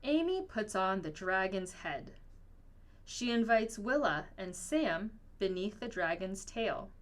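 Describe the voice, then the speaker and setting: A woman reading a children's picture book aloud in an expressive, storytelling manner. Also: mid-range voice, takes it slowly. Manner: expressive, acts out dialogue. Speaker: storybook reader.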